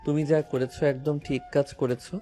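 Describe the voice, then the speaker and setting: Romantic male lead played by a man: mellow, mid-pitched, earnest and protective, audio story with soft music. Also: little pitch movement, a little fast, natural voice. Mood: earnest, reassuring. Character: supportive, protective young man in love. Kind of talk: audio story drama acting. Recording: music behind the voice.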